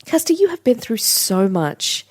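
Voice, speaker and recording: A woman hosting a public radio interview from a studio, calm and measured, with clearly read introductions and gentle questions. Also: fairly high pitch, dry recording.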